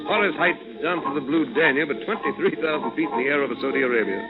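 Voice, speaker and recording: A man's voice: a little high, moderate pace, lightly acted. Man playing a chipper British adventurer, tinny old radio. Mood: cheerful, amused. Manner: jaunty, exclamatory upper-class slang. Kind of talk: 1940s radio adventure acting. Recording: tinny old radio recording, music behind.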